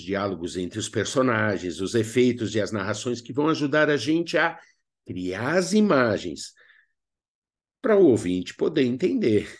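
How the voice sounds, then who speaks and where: Lively, expressive Brazilian man teaching. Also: mid-range voice. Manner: didactic, asks rhetorical questions and answers them. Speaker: radio theatre workshop instructor. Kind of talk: instructional video lesson.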